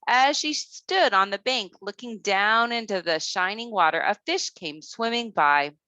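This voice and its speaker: A woman storyteller narrating a children's tale, expressive and careful in her read-aloud delivery. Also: medium pitch, unhurried, dry recording.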